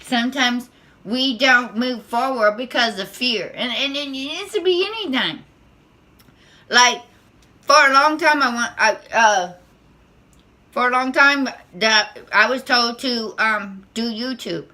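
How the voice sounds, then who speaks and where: A woman speaking as a spiritual tarot vlogger, with a squeaky voice, talking in a nervous, halting way full of restarts and repeated words. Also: animated, pushed and bright. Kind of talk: unscripted spiritual monologue to camera.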